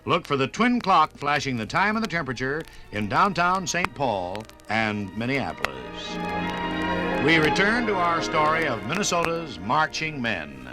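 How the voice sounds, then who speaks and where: A man's voice: fairly high pitch, big pitch swings, normal speed, over loud music, rough recording. A man narrating and announcing in a polished, scripted 1950s radio style.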